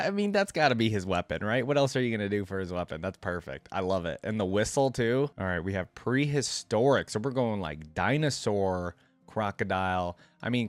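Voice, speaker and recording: A man's voice: on the low side, no room sound. A man giving casual, informal reaction commentary as the host of a gaming channel, thinking aloud as he goes.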